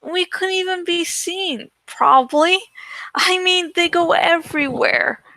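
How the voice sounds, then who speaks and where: A gentle, slightly pouty teenage girl in a storybook, read by a woman. She sounds high and bright in a dry, close recording. Her delivery is earnest and tentative. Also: unhurried, no echo, exaggerated voice. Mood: defensive.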